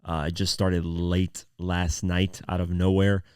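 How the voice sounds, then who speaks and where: A man with a very low-pitched voice hosts a podcast, talking through the news in an informal way. His throat is sore, so the voice is not at full strength. The sound is close and dry, with very little room in it. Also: completely flat delivery, unhurried, a little mellow, smooth, dry recording. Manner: informal, conversational, reads articles aloud then comments. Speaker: podcast host. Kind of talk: casual news commentary podcast.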